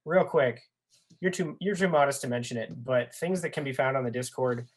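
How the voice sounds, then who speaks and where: An androgynous-sounding speaker chats casually as a fan guest on a podcast. The delivery is earnest and a little halting. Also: medium pitch. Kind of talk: casual chat.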